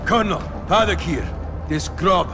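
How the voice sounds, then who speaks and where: An actor playing a bitter, sardonic, battle-weary soldier in a video game cutscene. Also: male voice, sits high, normal speed, music in the background, lightly acted. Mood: urgent. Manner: sardonic, challenging.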